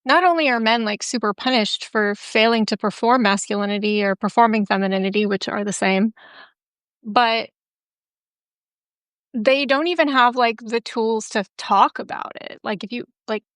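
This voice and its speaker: A woman's voice: a little high, normal speed, fairly bright tone, smooth, no room sound, clean studio sound. A woman hosting a casual interview, talking candidly with lots of "like" fillers. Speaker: host.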